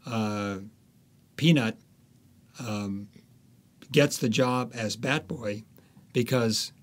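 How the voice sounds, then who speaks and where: A man speaking as an interviewed author, telling anecdotes conversationally and pausing to find his words. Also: mid-range voice.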